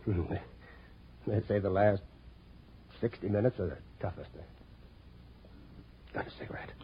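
An actor playing a weary, solemn, halting man in a 1940s radio crime drama. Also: on the low side, fairly even intonation, slight background noise, natural voice. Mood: grim, weary. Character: weary, solemn man waiting out grim minutes. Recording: muffled old radio recording.

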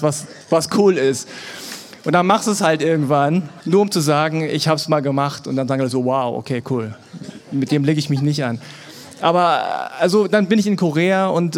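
A man from Germany speaking in a fairly high register at a live panel event. He talks in a loose, easygoing way, slipping into little voices when he quotes other people. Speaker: podcast guest. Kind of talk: casual panel conversation.

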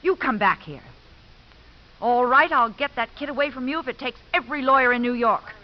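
An actress playing a brazen, scheming ex-wife in a 1950s radio drama. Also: female voice, high voice, a little fast, close to an everyday voice. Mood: threatening. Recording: muffled, noisy 1950s radio recording.

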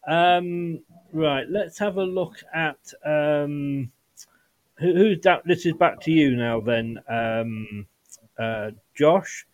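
Slightly high-voiced host. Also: male voice, takes it slowly, dull audio. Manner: relaxed, hesitant, filler-heavy. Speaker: podcast host. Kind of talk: casual chat.

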